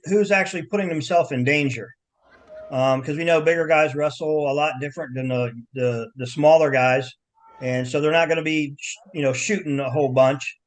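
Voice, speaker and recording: Veteran male ref, slightly high voice, rambling, filler-laden answers. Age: older. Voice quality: loud, carrying voice. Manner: halting, filler-heavy with frequent "you know" and restarts. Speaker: wrestling referee as guest. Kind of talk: casual interview.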